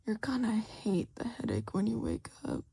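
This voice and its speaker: Woman voicing an exhausted, worried husband, breathy murmur. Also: huge pitch swings, normal speed, mellow, exaggerated voice. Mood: worried, tender scolding. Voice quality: low murmur, rough. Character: exhausted worried husband, low-voiced man, tender scolding.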